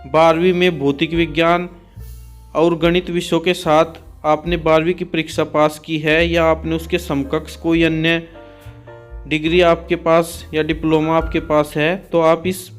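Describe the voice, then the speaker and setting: High-pitched man explaining, even tone. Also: a little slow, soft background music. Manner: methodical, restates points for clarity. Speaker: exam and recruitment explainer, host. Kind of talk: explanatory informational narration.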